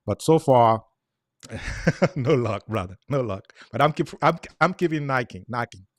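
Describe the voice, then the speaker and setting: A man's voice: mid-range voice, lively intonation, unhurried, dry recording, clean studio sound. A man in his forties from Haiti with a thick Haitian Creole accent, explaining earnestly as a guest in a casual chat and repeating words as he goes.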